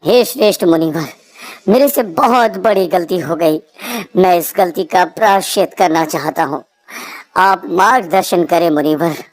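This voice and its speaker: A woman's voice: fairly low pitch, a little slow, natural voice. A woman voicing a remorseful, humble king in a cartoon, pleading and dramatic. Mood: humble, earnest. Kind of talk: cartoon character acting.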